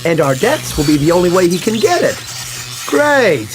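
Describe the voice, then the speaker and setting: An actor playing a cynical, agitated young starship ensign in a video game. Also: male voice, high-pitched, very animated, normal speed, background noise. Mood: bitter, agitated. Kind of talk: scripted video game acting.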